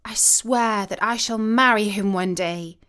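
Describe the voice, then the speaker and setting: A woman voicing a passionate, spoiled young noble girl in a storybook tale, breathy and dramatic. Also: medium pitch, unhurried, a bit bright, natural voice. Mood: dreamy longing.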